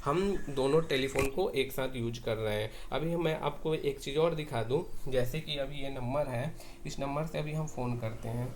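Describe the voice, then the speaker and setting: A man's voice: medium pitch, moderate pace, noisy background. An Indian man giving an informal hands-on tutorial, explaining step by step and often repeating himself. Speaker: tech tutorial presenter.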